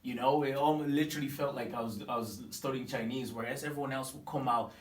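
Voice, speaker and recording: A man's voice: mid-range voice, fast talker. Tanzanian man in his twenties, echoey. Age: 20s. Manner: animated storytelling with many fillers and restarts. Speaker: business owner interviewed. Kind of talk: casual interview. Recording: echoey room, mic at a distance, faint ambience.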